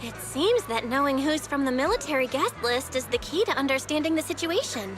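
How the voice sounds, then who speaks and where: Game heroine: young female investigator, high voice, inquisitive yet composed, music behind. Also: animated, a little fast. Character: curious, perceptive, composed young woman investigator. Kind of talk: scripted video game dialogue.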